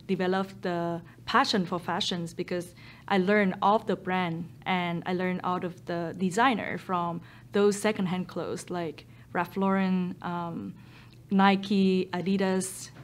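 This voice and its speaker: Soft-spoken Vietnamese-accented woman founder. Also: lowish voice, slow pace. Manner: earnest, steady, with frequent ums and non-native grammar. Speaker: startup founder. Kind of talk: seated documentary interview. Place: photo studio with a blue backdrop, indoors. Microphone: boom mic overhead.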